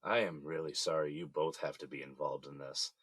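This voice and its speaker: Real deep american guy, middle aged, weary resigned husband in jail, subdued, dry close audio drama sound. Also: fairly even intonation, brisk, fairly bright tone, breathy edge, no room sound, full character voice. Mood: grateful, apologetic.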